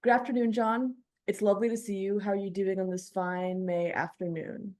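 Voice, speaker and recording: A woman's voice: mid-range voice, flat delivery, moderate pace, darkish tone. A woman hosting a radio interview, reading prepared questions in an articulate, polished way.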